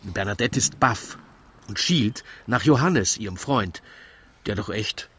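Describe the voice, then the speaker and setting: A man's voice: fairly low pitch, lively intonation, measured pace, bright, forceful tone. A man narrating a scripted radio drama in rhymed verse.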